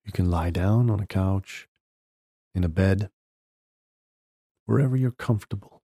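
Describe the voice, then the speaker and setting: Guy doing guided meditation, gentle instructions w long pauses, husky n creaky, real unhurried. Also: sits low, no echo, studio quality. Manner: gentle step-by-step instruction with long pauses between short phrases. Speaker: podcast host guiding a meditation.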